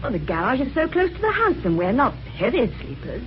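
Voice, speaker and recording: A woman's voice: a little high, big pitch swings, a little fast. An actress playing a puzzled, questioning woman in a radio mystery. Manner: inquisitive, puzzled. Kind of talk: 1950s radio mystery acting. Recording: dull, noisy old radio recording.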